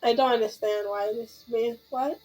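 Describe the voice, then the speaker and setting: A woman's voice: on the high side, fairly even intonation, normal speed, darkish tone. A woman chatting casually while playing a game, joking and reacting in slangy talk. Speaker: gamer reacting during playthrough. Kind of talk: casual let's play chat. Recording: game music behind the voice.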